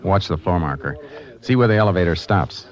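A man playing a methodical Texas Ranger in a 1950s radio drama, narrating and talking in a measured, authoritative, matter-of-fact way. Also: low voice, expressive. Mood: businesslike. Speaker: lead actor and narrator. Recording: dull lo-fi 1950s radio recording.

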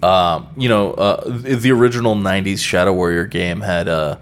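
A man's voice: deep voice, normal speed, a little hiss or hum. A man co-hosting a podcast in casual, rambling chat, full of fillers and casual swearing. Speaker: podcast co-host.

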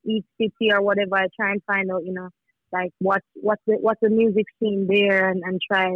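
A woman's voice: mid-range voice, flat delivery, fairly quick, no room sound, narrow, tinny audio, soft background music. A young woman musician talking casually as a podcast guest, full of fillers and restarts. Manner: rambling, filler-heavy, many restarts.

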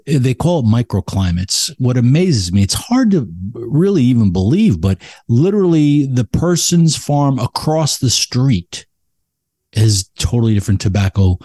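A man's voice: mid-range voice, big pitch swings, measured pace, dark tone, dry recording, studio quality. A man speaking as an expert guest in a casual chat, emphatic and opinionated about his craft. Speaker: expert podcast guest, cigar blender.

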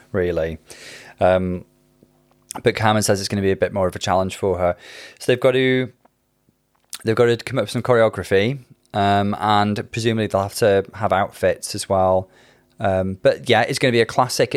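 A man's voice: lowish voice, no room sound, clean studio sound. A man co-hosting a podcast, chatting casually and playfully with laughing asides. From Wales. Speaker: podcast co-host. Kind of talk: casual chat.

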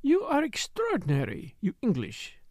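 A man's voice: high voice, wildly swooping pitch, a little slow, creaky, a little mellow, no room sound, heavily acted. Man putting on a sly, purring French dancer woman. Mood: exasperated. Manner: purring, insinuating. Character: scheming, theatrical Parisian woman dancer, teasing and sly.

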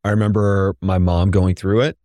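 A middle-aged man co-hosting a podcast, his voice raspy today, reflecting in casual chat and searching for words. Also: deep voice, little pitch movement, moderate pace, mellow, no room sound. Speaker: podcast co-host.

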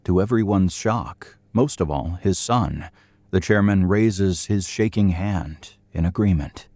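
Male narrator, low, dark-toned, close dry sound, scripted, suspenseful. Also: measured pace, dry recording.

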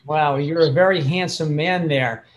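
Higher-voiced man hosting a formal interview. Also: a little slow. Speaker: interviewer and host. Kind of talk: scripted introduction and formal interview.

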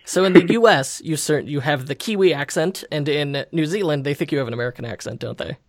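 A man who hosts a podcast, reading ad spots and chatting with a guest in an upbeat, energetic way. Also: a little high, fast. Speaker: podcast host. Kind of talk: read ad spots and casual interview.

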